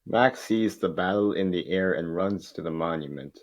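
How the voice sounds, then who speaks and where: A man's voice: low-pitched, moderate pace. A man narrating stage directions in an amateur table read, stumbling now and then over the script.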